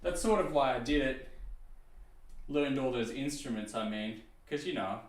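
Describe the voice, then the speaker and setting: A man with a low speaking voice talks in a relaxed, rambling way, joking at his own expense and dropping filler words as he goes. His voice carries a noticeable echo from the room around him. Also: normal speed. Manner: easygoing, rambling, self-deprecating, many "you know" and "uh" fillers. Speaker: VTuber streamer. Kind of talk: casual chat to stream viewers while restringing a guitar.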